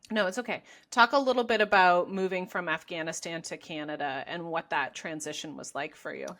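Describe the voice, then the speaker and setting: A woman interviewing a guest, asking questions in a gentle, encouraging way with short affirming replies. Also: on the low side, fairly quick. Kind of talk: interview questions on a video call.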